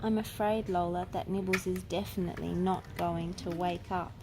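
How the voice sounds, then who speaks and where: A woman's voice: on the low side, fairly even intonation, normal speed, background noise, close to an everyday voice. A woman voicing a patient, earnest schoolboy, an older brother who explains things in a storybook. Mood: gentle, serious.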